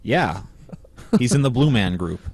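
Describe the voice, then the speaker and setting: Crude, joking young co-host. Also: male voice, mid-range voice, lively intonation, a little slow, husky, no echo. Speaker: podcast co-host. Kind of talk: casual podcast chat.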